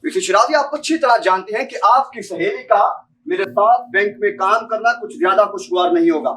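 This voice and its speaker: A man's voice: sits high, brisk, a bit bright, a little hiss or hum. Young guy playing pushy but polite blackmailing bank clerk, pakistani accent, stage play, mic far away. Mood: pressing, veiled threat. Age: young adult. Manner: formal, insistent, repeatedly addressing the listener politely. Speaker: student stage actor. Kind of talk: amateur stage drama acting. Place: seminar hall stage. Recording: distant mic in a hall.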